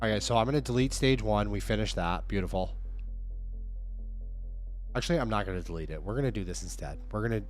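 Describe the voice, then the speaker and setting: A man with a hoarse, fading voice chatting casually on a livestream, upbeat and talking straight to his viewers. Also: lowish voice, fast, pauses often, faint music behind. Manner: upbeat, hype-driven, addresses viewers directly. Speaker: livestreaming app developer.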